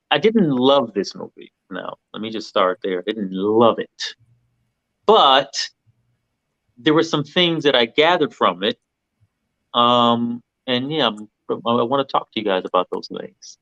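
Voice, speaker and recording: A man of medium pitch chats casually as a film panelist. The recording is dry and slightly dull. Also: sing-song, measured pace, fairly bright tone, dry recording.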